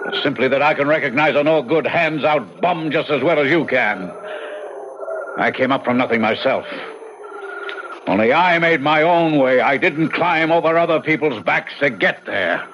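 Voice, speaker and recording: A man's voice: a little high, moderate pace, close to an everyday voice. Guy acting a bossy indignant dad, middle-aged mayor type, blunt, old radio play, dull noisy sound. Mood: angry, indignant. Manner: blunt, commanding, righteous. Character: stern, indignant middle-aged father, small-town mayor.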